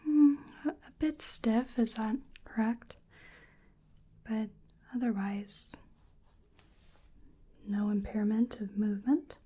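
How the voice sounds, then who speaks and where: Slow, breathy woman whispering ASMR. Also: on the high side, steady tone, warm tone. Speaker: ASMR performer role-playing a medical examiner. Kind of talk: soft-spoken ASMR medical exam role-play.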